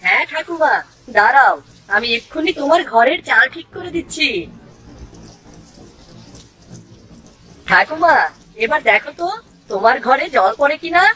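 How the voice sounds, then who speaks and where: A woman's voice: high voice, expressive, a little fast, sharp tone, rough recording. A woman voicing a brave, practical village boy, a bossy and reassuring older brother in a children's cartoon. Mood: helpful.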